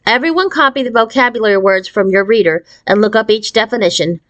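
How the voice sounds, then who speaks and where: A woman voicing a brisk, beaming woman schoolteacher who instructs her class with cheerful authority in a storybook. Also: medium pitch, a bit bright, dull audio, lightly acted. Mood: brisk instructing.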